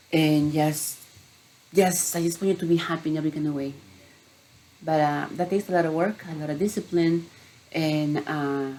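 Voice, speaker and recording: A woman's voice: sits low, warm tone, a little hiss or hum, low-quality recording. A middle-aged woman from Peru, a health coach, earnestly telling her own story in a personal vlog.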